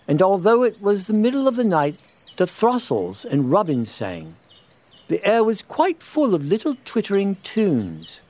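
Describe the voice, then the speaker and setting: Casting: male narrator for children's classics, animated and expressive, taking his time over each phrase. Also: on the high side. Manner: expressive, measured storytelling. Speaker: storyteller. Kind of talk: scripted children's storybook narration.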